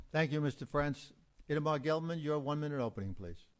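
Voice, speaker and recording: A man's voice: fairly high pitch, animated, a little fast. A man acting as moderator at a candidate forum, making formal introductions and explaining procedure.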